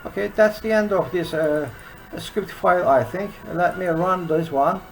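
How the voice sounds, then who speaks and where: An older man with a Turkish accent walks through code on a webcam recording made at his desk. His voice has a gravelly, creaky edge. He speaks haltingly and fills every pause with "uh". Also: high-pitched, soft background music, rough recording. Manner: halting, with many uh fillers. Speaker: programming teacher. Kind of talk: screen-recorded coding lesson. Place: small room indoors.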